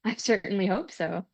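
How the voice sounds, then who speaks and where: A woman's voice: mid-range voice, vocal fry, full character voice. A woman playing a relentlessly cheerful, breezy character at a short film table read. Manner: chipper, breezy. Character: relentlessly upbeat female crash survivor, brightly cheerful. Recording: slightly dull, lo-fi sound.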